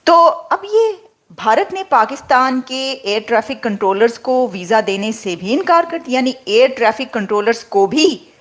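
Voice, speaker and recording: A woman with a Pakistani accent hosts an online news commentary. Her voice sits fairly high. She talks to viewers conversationally and restates each point for emphasis. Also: big pitch swings, normal speed. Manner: repeats and rephrases points for emphasis.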